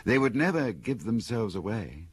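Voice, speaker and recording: A man playing a genial, thoughtful older gentleman in a radio drama, gravelly and husky, musing in an urbane way. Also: lowish voice, sing-song, moderate pace.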